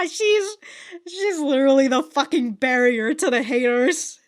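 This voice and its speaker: A woman's voice: high-pitched, bright, forceful tone. A woman hosting an anime reaction channel, giving casual review commentary. She is chatty, swears freely, laughs often and thinks aloud with frequent restarts.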